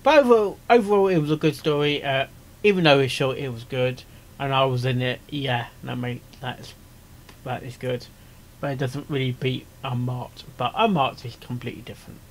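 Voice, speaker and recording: A man's voice: medium pitch, steady tone, moderate pace. A man giving casual, off-the-cuff commentary, hesitant and rambling, often repeating his phrases. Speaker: reaction video host, amateur voice actor.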